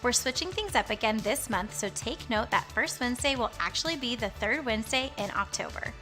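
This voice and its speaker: A woman reading scripted church announcements in a smooth, upbeat, even way. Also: fairly high pitch, brisk, soft background music.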